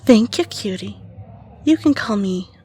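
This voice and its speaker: A woman's voice: mid-range voice, lively intonation, unhurried, darkish tone, close to an everyday voice. A woman voicing a flirtatious, possessive female fae in a scripted audio roleplay, coy and teasing. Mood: inviting, sly.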